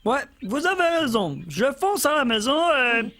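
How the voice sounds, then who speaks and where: A woman's voice: high-pitched, very animated, moderate pace, fairly bright tone. A woman voicing an earnest, eager young child in a children's cartoon dub. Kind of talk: dubbed children's cartoon acting.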